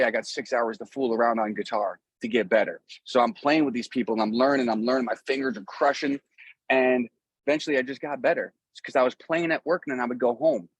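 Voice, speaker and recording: A middle-aged American man being interviewed as a musician, talking casually and earnestly in halting, rambling sentences with repeated phrases. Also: mid-range voice, slightly rough, dry recording, rough recording. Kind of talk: casual, rambling conversation about a music career.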